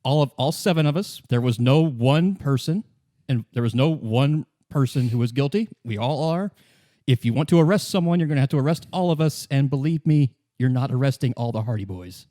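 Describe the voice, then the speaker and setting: A man playing the swaggering, defiant leader of a gang of union toughs in a tabletop radio play, blustering and haltingly boastful. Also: mid-range voice, expressive, warm tone, natural voice.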